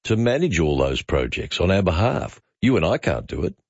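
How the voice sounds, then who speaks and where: A low-pitched Australian man hosts a talkback radio programme. He has a questioning, probing way of talking, and the sound is dry, with the slightly dulled top end of a broadcast. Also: very animated, normal speed, a little mellow, breathy edge, no echo, highs cut off. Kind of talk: live talkback interview.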